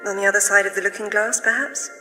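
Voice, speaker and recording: An actress playing a bold, composed archaeologist in a video game cutscene, with a crisp manner. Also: female voice, medium pitch, fairly even intonation, fast. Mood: cool. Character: bold adventurous British woman archaeologist. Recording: echoey game audio with loud music.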